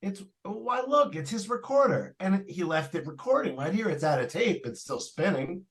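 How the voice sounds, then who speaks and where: A man's voice: high voice, expressive, moderate pace, a bit bright, slight background noise. A man playing an eager, talkative property salesman in old-time radio suspense style, with a folksy, persuasive manner. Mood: puzzled, calling out. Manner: folksy, persuasive, colloquial phrasing. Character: eager, talkative salesman trying to unload a haunted house.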